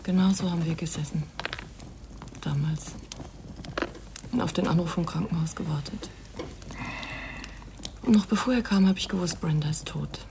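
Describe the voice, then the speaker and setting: An actress playing a fragile, haunted mother in a radio crime drama, speaking in a hushed, sorrowful way. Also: fairly low pitch, normal speed, gravelly, long pauses, slight background noise. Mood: haunted grief.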